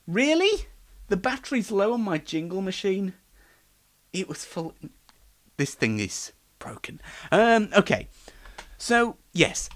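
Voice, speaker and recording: A man presents a homemade radio show in a casual, rambling manner. His voice sits fairly high and swings about animatedly. He keeps gently putting himself down as he goes. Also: no echo. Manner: rambling, self-deprecating. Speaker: host of an online radio show. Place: home studio and downstairs rooms. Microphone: switches between wireless and studio microphones. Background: background music in places.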